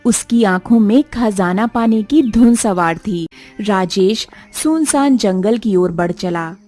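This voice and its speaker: A woman's voice: mid-range voice, slow, warm tone, soft background music. A woman narrating a scripted story as the storyteller of a cartoon tale.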